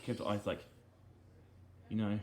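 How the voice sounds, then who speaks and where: A man giving rambling, casual commentary on video game cutscenes, speaking haltingly with repeated phrases and earnestly explaining small details. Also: fairly low pitch, little pitch movement, mellow. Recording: game music behind the voice.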